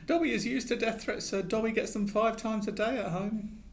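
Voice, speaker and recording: A man voicing a tearful male house elf who pleads in a high, squeaky voice and talks about himself in the third person. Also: normal speed. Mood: meek.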